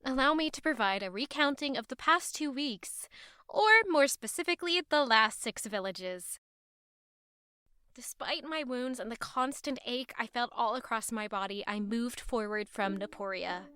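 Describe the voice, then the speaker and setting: A woman's voice: fairly high pitch, moderate pace, bright, forceful tone, clean tone, no room sound, clean studio sound. An actress playing a proud, weary traveling woman who narrates her hardships formally in a fantasy audio drama. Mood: aggrieved. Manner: formal, articulate. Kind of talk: first-person dramatic narration.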